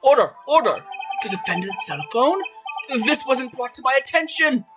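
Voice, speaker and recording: A woman's voice: sits high, very animated, moderate pace, music in the background, voice pushed up. A woman voicing a pompous, easily baffled old male judge in a video game fan dub. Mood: authoritative.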